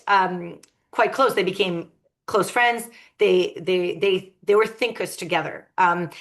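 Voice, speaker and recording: A woman giving an academic lecture, thoughtful and often pausing to search for her words. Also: mid-range voice, a bit bright.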